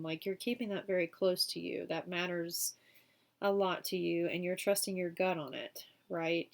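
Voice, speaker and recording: Sniffly woman giving a chatty tarot reading. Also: fairly low pitch. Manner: chatty, many fillers like um and you know. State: sick, sniffling. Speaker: tarot reader.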